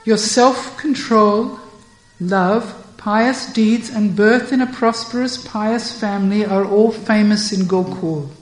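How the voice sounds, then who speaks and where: A woman voicing a stern older woman who questions a girl in measured, scolding tones, in a storytelling reading. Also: mid-range voice, close to an everyday voice. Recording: echoey room.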